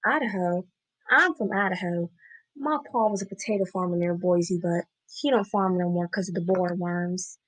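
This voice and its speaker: A woman's voice: fairly low pitch, moderate pace, natural voice, low-quality recording. A woman playing a naive, hesitant farm girl among gangsters in a student radio play.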